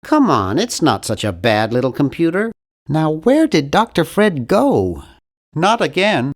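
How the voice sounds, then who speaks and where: Geeky young adventure game hero, nasal, over-articulate, earnest, socially awkward, very swooping intonation. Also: male voice, fairly high pitch, wildly swooping pitch, measured pace, exaggerated voice. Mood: earnest, dry. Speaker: video game voice actor. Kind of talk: animated adventure game character lines.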